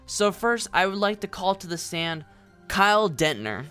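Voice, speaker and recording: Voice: medium pitch, sing-song, normal speed, sharp tone, faint music behind. An actor playing a formal, accusatory prosecuting lawyer with an oratorical delivery in an audio drama. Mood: formal, accusing. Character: formal, theatrical prosecuting lawyer in a fantasy court. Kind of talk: scripted audio drama courtroom speech.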